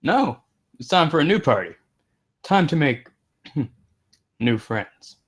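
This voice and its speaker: A man's voice: a little high, sing-song, takes it slowly, breathy edge, heavily acted. A man playing a genial, amused party leader in a screenplay table read. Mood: amused, rallying.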